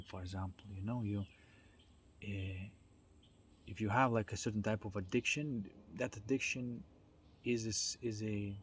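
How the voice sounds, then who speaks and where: A man giving an informal explanatory monologue, haltingly searching for his words as he goes. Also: on the low side, a little hiss or hum. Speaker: speaker on self-development.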